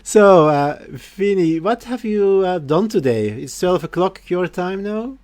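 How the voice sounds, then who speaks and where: A male host welcomes everyone over a video call in a playful, laughing manner. His voice is fairly high and swings up and down a lot, and he talks slowly. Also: lively intonation, darkish tone, dry recording. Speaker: show host. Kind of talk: casual video call chat.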